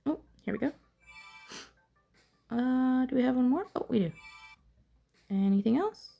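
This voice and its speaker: Slow-paced woman musing through a game. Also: high-pitched, expressive, creaky, muffled recording, soft background music. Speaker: gamer narrating own playthrough. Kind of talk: casual gameplay commentary, thinking aloud.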